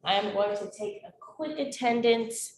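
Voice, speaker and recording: Woman fitness instructor, stuffy from a cold, unhurried cues, far off the mic with faint hiss. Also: fairly high pitch. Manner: slow, encouraging counting cues. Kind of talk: guided exercise class with counting. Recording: very echoey, distant, faint noise.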